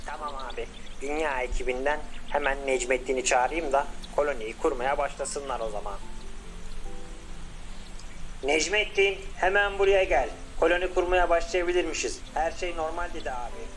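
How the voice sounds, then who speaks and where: An eager young scientist, voiced by a man, reporting back cheerfully and respectfully to his older brother in a children's cartoon. His voice sits a little higher than ordinary speech, with faint music behind. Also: normal speed, a bit bright, natural voice.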